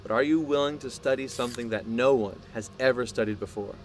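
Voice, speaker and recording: An actor playing a proud, obsessive male scientist in an audio drama, speaking in a deliberate, grandiose way. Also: mid-range voice, slight background noise, lightly acted. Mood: measured, earnest. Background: music.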